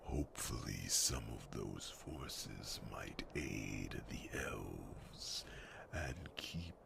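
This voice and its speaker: A man voicing an ancient, solemn, brooding fantasy creature in an ASMR roleplay, whispering slowly and formally with pondering hums. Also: expressive, noisy background, full character voice. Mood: measured concern. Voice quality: very deep, gravelly, breathy whisper.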